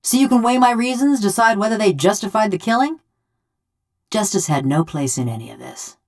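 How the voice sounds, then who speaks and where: A woman's voice: mid-range voice, lively intonation, fairly quick, darkish tone, close to an everyday voice. An actress playing a wry, blunt, vengeful woman killer whose soul lives in a bronze body, speaking in a folksy rustic drawl for a video game. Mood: defiant, grim. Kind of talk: video game character dialogue.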